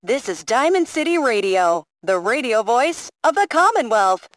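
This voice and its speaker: A woman's voice: fairly high pitch, very animated, normal speed, no echo. A woman playing an old-time radio station announcer, showy and sing-song in 1940s style. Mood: showy welcome.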